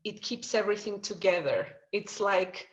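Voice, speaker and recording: A woman's voice: mid-range voice, moderate pace, dull audio. Thoughtful woman from Greece explaining. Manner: thoughtful, explains at length with fillers. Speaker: interview guest. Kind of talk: casual interview answer.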